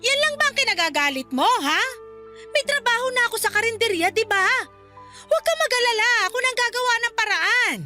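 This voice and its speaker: A woman's voice: very high-pitched, expressive, brisk, over music. A woman acting a worried, long-suffering Filipino mother in a radio drama, pleading and scolding as she tries to keep peace. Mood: reproachful.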